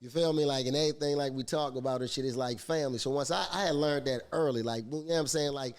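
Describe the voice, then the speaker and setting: A comedian from Baltimore with a strong Baltimore accent, talking loosely and laughing often in a podcast chat. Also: male voice, mid-range voice, fast talker. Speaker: comedian guest.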